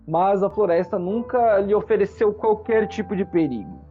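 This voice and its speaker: A man narrating a tabletop game as game master, theatrical and full of deliberate pauses. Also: sits high, lively intonation, dark tone, dull audio, soft background music.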